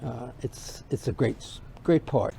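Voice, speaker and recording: A New York-born American actor feeling his way through a theatre interview, haltingly, in a gravelly, soft-edged voice. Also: medium pitch, a little hiss or hum. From New York, United States. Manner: hesitant. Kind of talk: cast interview.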